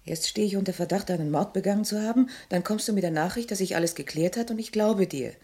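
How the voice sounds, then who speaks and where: A husky, dark-toned woman in a radio drama. She sounds wounded and reproachful as she speaks. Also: medium pitch, fast. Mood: exasperated. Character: hurt, reproachful woman under murder suspicion.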